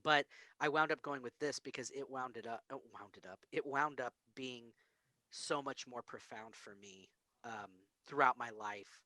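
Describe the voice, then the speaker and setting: An American man on a podcast, telling personal stories in casual film discussion with an animated, acted-out delivery. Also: medium pitch, moderate pace, no echo. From United States, grew up in Springfield and Texas. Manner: animated storyteller, acts out quoted lines. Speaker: podcast co-host.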